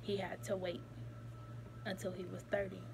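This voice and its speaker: Woman in her thirties, casual phone vlog, animated and chatty, mid-pitched, steady hum underneath. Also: moderate pace, gravelly. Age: 30s. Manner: animated, chatty, repeats words for emphasis. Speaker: vlogger. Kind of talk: casual chat to camera. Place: small office room indoors. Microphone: phone camera held close.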